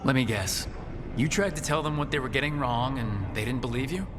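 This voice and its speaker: A man acts as an earnest young-hero type leading a team in a fantasy game. The voice is medium in pitch and conversational. It rings with long reverb over noticeable background noise. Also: a little fast, close to an everyday voice. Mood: curious, wry. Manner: plain-spoken, conversational. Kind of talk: video game dialogue acting. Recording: echoey hall, clear background noise.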